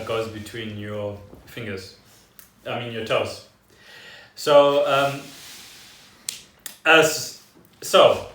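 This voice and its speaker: A man's voice: mid-range voice, pushed and bright. A South African man chatting casually and loosely as a podcast host. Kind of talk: casual rambling chat. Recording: echoey room, distant microphone.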